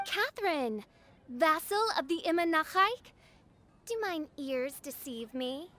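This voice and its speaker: Self-styled teenage princess of darkness, high voice, pompous archaic grandeur, game cutscene. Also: female voice, animated, a little slow, pushed and bright, soft background music, heavily acted. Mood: imperious. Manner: pompous, theatrical, archaic speech. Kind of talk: video game cutscene acting.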